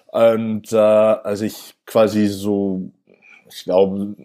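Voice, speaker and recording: A man's voice: lowish voice, little pitch movement, very slow, dark tone. A man in his twenties from New York with an American accent, speaking German as a second language in a casual interview, hesitant with many restarts and fillers. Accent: American English. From New York, USA. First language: English. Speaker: interview guest.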